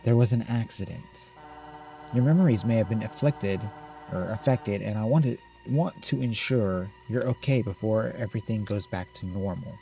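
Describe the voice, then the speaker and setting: A man's voice: fairly low pitch, moderate pace, vocal fry, dark tone, faint music behind. A man voicing an anxious, controlling mother in a horror game, coaxing and insistent. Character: anxious, controlling mother fussing over her daughter.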